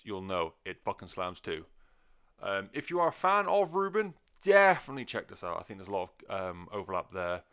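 A man's voice: lowish voice, fairly bright tone, smooth. A man talking casually and off the cuff as a music reviewer, rambling, sweary and self-deprecating. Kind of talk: casual unscripted review chat.

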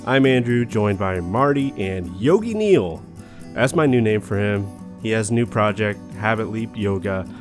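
A man's voice: lowish voice, animated, moderate pace, soft background music. A man hosting a casual podcast, talking in a friendly, rambling way and laughing easily. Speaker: podcast host. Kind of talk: casual chat.

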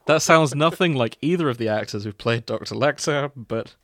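A man's voice: medium pitch, normal speed, a bit bright, no echo, clean studio sound. A man hosting a comic fan fiction reading, narrating theatrically with amused, casual banter.

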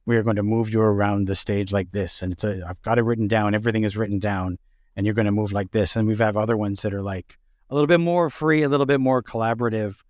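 A man's voice: fairly low pitch, fairly even intonation, quick pace, darkish tone, no echo, clean studio sound. A man interviewing in a casual conversational way, thoughtful and a bit hesitant as he forms his points. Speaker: interviewer and fellow theatre director.

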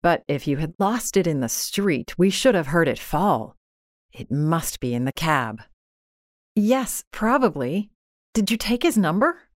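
A woman's voice: on the low side, big pitch swings, a little slow, no echo, studio quality. A woman voicing a worried, practical husband, urgent and questioning, in a dramatised storybook reading. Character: worried, practical husband, a tired government clerk.

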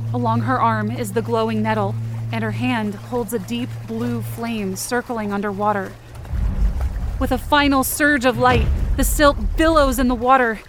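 A woman's voice: fairly high pitch, a little hiss or hum. A woman giving intense, theatrical first-person narration for a scripted fiction podcast, in short dramatic sentences. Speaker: writer and performer of fiction podcast.

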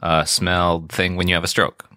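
Deep-voiced deadpan podcast co-host. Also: male voice, moderate pace, no room sound, studio quality. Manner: deadpan, offbeat joking. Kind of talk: casual comedy podcast chat.

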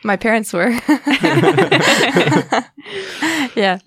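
A woman in her twenties with an American accent who grew up in Switzerland, co-hosting a relaxed student radio chat, giggly and trailing off. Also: fairly high pitch, very slow, husky, dry recording.

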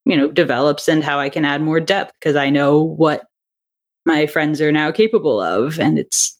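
A woman in a casual interview chat, talking in an animated way and acting out bits of quoted thought as she explains her creative work. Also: low voice, normal speed. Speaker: interview guest, audio drama writer and director.

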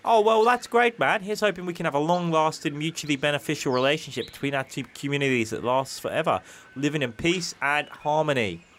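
A man's voice: on the high side, noisy background, natural voice. A man playing a cheeky, scheming, matey convict. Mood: cheerful, exasperated. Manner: matey, slangy fast-talker. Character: cheeky, scheming male convict posing as easygoing nobleman.

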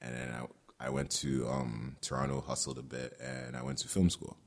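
A man's voice: extremely low pitch, big pitch swings, normal speed, vocal fry. A young man from Ghana, a filmmaker, telling his story in a casual conversation and laughing often. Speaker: filmmaker guest.

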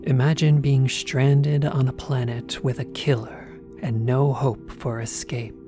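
A woman narrates a sci-fi horror trailer with slow, ominous phrasing. The read is scripted and dramatic. Music sits clearly behind the voice. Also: extremely low pitch, flat delivery. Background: loud music.

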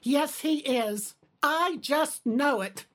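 A woman's voice: high voice, takes it slowly. A woman playing a fretful, stubborn older mother and wife in an audio drama. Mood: despairing, scolding.

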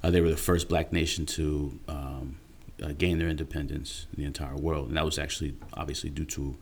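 A man's voice: very deep voice, level tone, brisk, a little mellow, slight background noise. A man explaining things in a casual, earnest, informal way, often checking in with the listener. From Haitian and Dominican background. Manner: earnest, informal, checks in with "right?" and "you know". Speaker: interview guest.

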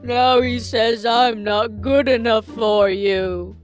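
A woman's voice: a little high, steady tone, slow pace, faint music behind. A woman voicing a magical talking stew in a family audio drama, sweet and coy, with syrupy, wounded innocence.

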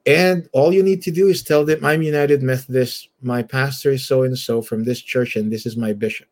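A man from the Philippines with a Filipino accent and a husky voice, telling his story conversationally as an interview guest. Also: mid-range voice, steady tone, moderate pace, a little mellow. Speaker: church elder and guest.

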